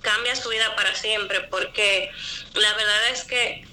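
Bright-voiced woman, earnest. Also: medium pitch, steady tone, unhurried, rough recording. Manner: earnest, reflective. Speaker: guest. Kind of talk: heartfelt interview reflection.

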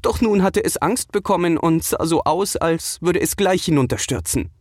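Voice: mid-range voice, expressive, normal speed, no room sound, clean studio sound. A narrator reading a scripted children's audio adventure as a storyteller.

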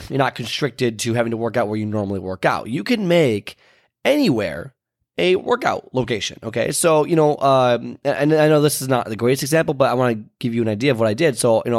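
A man with a stuffy, congested voice hosts a fitness show. He rambles casually, stopping and restarting with plenty of fillers as he recalls details. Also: mid-range voice. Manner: loose and chatty, with many fillers and restarts. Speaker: fitness podcast host.

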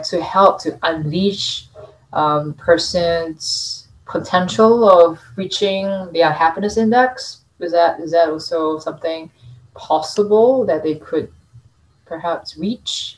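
A woman's voice: fairly low pitch, low-quality recording. A woman hosting a podcast, welcoming and effusive as she introduces and questions her guest. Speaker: podcast host. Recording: faint music behind.

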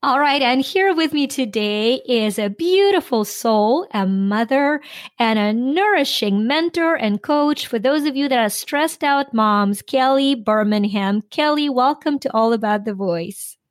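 A woman's voice: high voice, animated, unhurried, dry recording, studio quality. Upbeat woman podcast host from Ukraine. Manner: upbeat, inspirational. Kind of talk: scripted podcast intro and interview.

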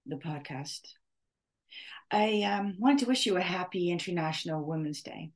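Middle-aged female podcast host, low register, slow and hesitant, unscripted reflection. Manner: halting, searching for words, with long pauses. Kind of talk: off-the-cuff spoken reflection.

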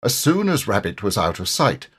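A man's voice: on the low side, big pitch swings, moderate pace, a bit bright, husky. A man narrating a children's story expressively, as an audiobook reader.

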